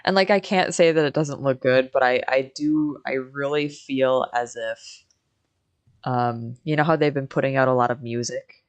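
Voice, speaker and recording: A woman with a strikingly low voice gives casual game-stream commentary. She is candid and opinionated, talking as she would in an informal chat. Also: normal speed.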